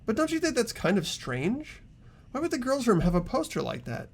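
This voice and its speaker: A man's voice: very high voice, huge pitch swings, moderate pace, exaggerated voice. A man playing an earnest teenage boy who reasons aloud tentatively in an amateur game dub. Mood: earnest, thoughtful.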